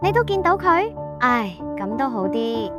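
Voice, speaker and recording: A woman playing an excitable, stubborn young girl cousin in a ghost story, with an animated, insistent delivery. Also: on the high side, measured pace, over loud music. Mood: shaken. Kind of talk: Cantonese ghost-story dramatization.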